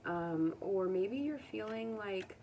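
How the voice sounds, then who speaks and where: An American woman giving a casual, unscripted tarot reading to viewers, conversational and musing, pausing to think aloud. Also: medium pitch, expressive, dark tone, highs cut off. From United States. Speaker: tarot reader. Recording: distant mic with faint background noise.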